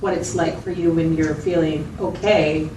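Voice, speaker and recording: A woman playing a patient, attentive mental health clinician who explains things in a measured way and checks for agreement. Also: low voice, steady tone, lightly acted. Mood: attentive, checking. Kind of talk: acted clinical interview, explanatory. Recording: roomy, distant mic, noticeable background noise.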